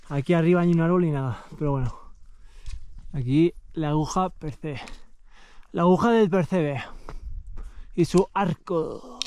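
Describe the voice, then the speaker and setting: A man's voice: a little high, dark tone, a little hiss or hum. A young man with a European Spanish accent doing casual, slangy, enthusiastic commentary for an outdoor vlog. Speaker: vlogger. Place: outdoors on snowy mountain slopes.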